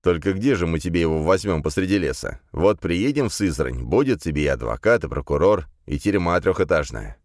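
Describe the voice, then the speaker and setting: A man's voice: low voice, expressive, a little fast, hardly any pauses, darkish tone, no echo, clean studio sound. A man playing a self-satisfied senior police interrogator with a drawling, wry authority, as in an audiobook. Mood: commanding, sardonic.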